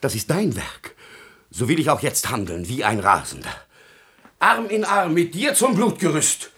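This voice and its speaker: Ein Schauspieler spielt in einem klassischen deutschen Hörspiel einen intriganten Handlanger, der trotzig und rachsüchtig rast, mit höhnischer, fieberhafter Deklamation. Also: male voice, on the high side, huge pitch swings, normal speed, fairly bright tone, slightly rough, full character voice. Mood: frenzied, vengeful. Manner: sneering, frenzied declamation. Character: scheming villainous henchman turned defiant, vengeful madman. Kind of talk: German classical radio drama.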